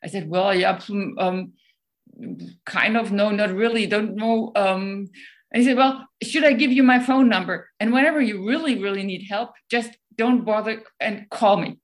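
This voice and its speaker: A woman's voice: mid-range voice, normal speed. A woman with a German accent, a guest speaker answering questions in a casual, hesitant way, full of ums and restarts.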